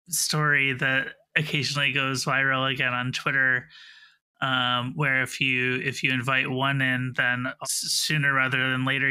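Very bright-voiced man co-hosting a podcast. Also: medium pitch, clean tone. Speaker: podcast co-host, journalist. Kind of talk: casual discussion.

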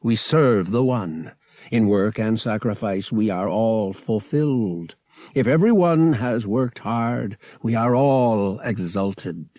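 Man doing a solemn alien high priest, ceremonially declaiming. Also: slow, warm tone, no room sound, studio quality. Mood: solemn, exalted.

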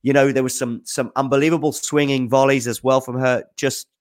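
A man's voice: medium pitch, level tone, a little fast. A man hosting a tennis news show, answering viewer questions in casual, off-the-cuff spoken commentary, thinking aloud with enthusiasm.

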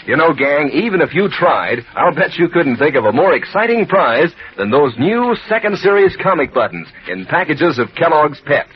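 A peppy man announcing ads on an old radio show, his husky voice bouncing up and down. The recording is dull and lo-fi. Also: fairly high pitch, big pitch swings, normal speed, few pauses. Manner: peppy, salesman-like. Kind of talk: 1940s radio ad reading.

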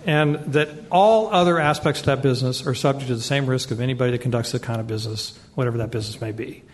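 A man speaking as a debate panelist, setting out his position step by step. He is measured and insistent. His voice has an average pitch. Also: a little hiss or hum. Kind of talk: debate argument.

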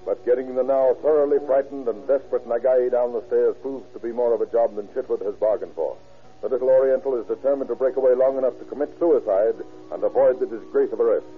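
A man's voice: mid-range voice, fast, phone-quality sound. A man with an American accent giving dramatic scripted narration for a 1940s radio serial. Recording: thin old radio recording, faint music.

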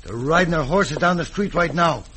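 A man's voice: on the high side, moderate pace, pushed and bright. An actor playing a tough, trigger-happy outlaw henchman with rustic cowboy speech in an old-time radio western. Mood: eager, menacing. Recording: noisy vintage radio recording.

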